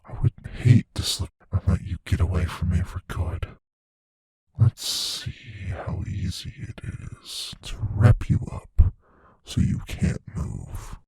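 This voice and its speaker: An actor voicing a playful, possessive, menacing shape-shifting monster in an intimate audio roleplay, musing slowly in a husky, breathy near-whisper. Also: male voice, low-pitched, dark tone, no echo, exaggerated voice. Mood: predatory, playful. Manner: slow, musing, savoring pauses.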